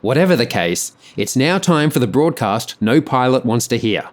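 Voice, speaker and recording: A man's voice: mid-range voice, sing-song, moderate pace. A man reading scripted explanatory narration about an aviation incident.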